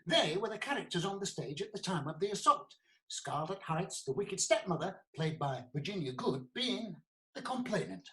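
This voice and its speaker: A woman's voice: on the low side, very animated, moderate pace, low-quality recording. A woman playing an earnest prosecuting lawyer in a comic courtroom radio play, formally and theatrically recounting a case. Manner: formal, storytelling, deferential.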